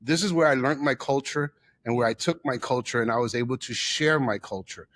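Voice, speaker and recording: A man's voice: mid-range voice, a bit bright, dry recording. A middle-aged man from the Bronx, New York, telling personal stories as an interview guest, emphatically repeating phrases for effect. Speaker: interview guest, chef and theater owner.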